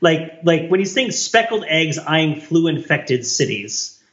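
Higher-voiced man on a dull, roomy recording. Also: very animated, takes it slowly, a bit bright. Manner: questioning, works ideas out aloud. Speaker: podcast co-host. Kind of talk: casual analytical discussion.

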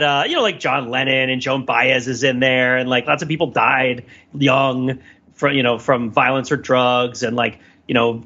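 A man with a bright, rough-edged voice thinks aloud as a podcast co-host. He talks casually but analytically, in long wordy, wandering sentences. The recording sounds a little dull and lo-fi. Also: mid-range voice. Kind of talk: casual discussion and cultural commentary.

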